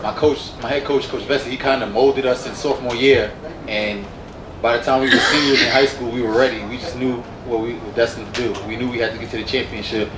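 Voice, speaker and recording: A man's voice: medium pitch, steady tone, fairly quick. A man in his early twenties, a college football player answering at a press conference, repeating phrases for emphasis. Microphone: handheld microphone. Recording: roomy, distant mic, noisy background.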